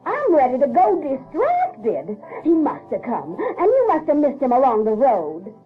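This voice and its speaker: An actress playing a fussy, excitable older farm wife in a theatrical radio drama. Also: female voice, high voice, unhurried, soft background music, full character voice. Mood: distraught. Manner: theatrical, exclamatory. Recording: thin, tinny 1970s audio drama recording.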